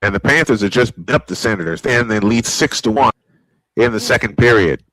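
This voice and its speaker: An older man doing old-school play-by-play baseball commentary as a fan broadcaster, calling pitches and counts and getting excited on close plays. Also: fairly quick, dry recording, rough recording. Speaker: play-by-play announcer.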